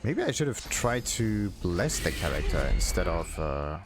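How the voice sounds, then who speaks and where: A man's voice: sits low, big pitch swings, a little slow. A man doing casual let's play commentary, thinking aloud to himself with game music behind him. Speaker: gaming video host. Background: video game music.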